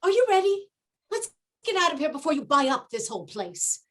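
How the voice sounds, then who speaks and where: A woman's voice: sits high, sing-song, a little fast, fairly bright tone. A woman acting a bossy, scolding chaperone in a stage comedy, exasperated and emphatic. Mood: huffy.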